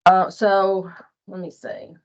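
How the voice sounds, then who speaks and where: A woman with a mid-pitched voice gives an informal workplace walkthrough. She speaks hesitantly, like a presenter feeling her way through the steps. The sound is muffled and band-limited, as on an online meeting. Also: completely flat delivery, takes it slowly, clean tone. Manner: hesitant, checks with colleague for confirmation. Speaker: training presenter.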